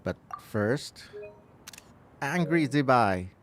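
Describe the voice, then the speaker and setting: A man's voice: mid-range voice, lively intonation, drawn-out words, slight background noise. A man doing casual live commentary on a game playthrough, sometimes reading dialogue aloud. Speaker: gaming streamer.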